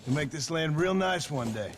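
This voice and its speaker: Folksy American frontier dad, male actor, middle-aged, fairly high for a man, protective, Western game with faint ambience. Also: lively intonation, normal speed, background noise, lightly acted. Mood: folksy, fatherly. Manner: folksy, plain-spoken. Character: gruff, protective rancher father, male, former gunman. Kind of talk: dramatic video game acting.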